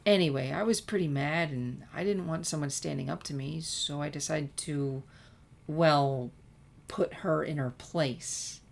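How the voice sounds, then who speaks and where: A woman's voice: low voice, a little slow, creaky, full character voice. A woman voicing a regretful teenage girl who confesses past scheming in a hesitant, reflective way, in a story reading. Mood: reflective, rueful.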